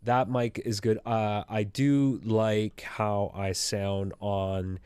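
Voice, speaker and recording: A man co-hosting a podcast, talking in a measured, thoughtful way about microphones. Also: lowish voice, steady tone, a little mellow, clean tone, no room sound. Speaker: podcast co-host. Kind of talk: casual technical podcast chat.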